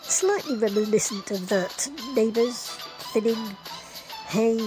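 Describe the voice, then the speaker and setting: A woman's voice: fairly high pitch, takes it slowly, music in the background. A woman reading wry, wordy scripted narration with arch, playful and florid phrasing.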